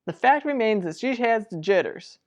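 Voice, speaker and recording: A woman's voice: a little high, animated, normal speed, a little mellow, dull audio, lightly acted. Woman acting sensible sibling in radio soap. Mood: concerned. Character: level-headed, exasperated, protective sibling. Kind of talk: old-fashioned radio soap opera acting.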